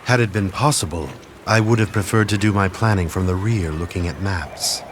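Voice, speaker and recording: A man playing a shrewd, haughty military strategist of ancient China in a video game cutscene, speaking in a measured, condescending, theatrical way. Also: sits low, a little hiss or hum, natural voice. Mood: coolly calculating. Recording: loud music under the voice. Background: game music.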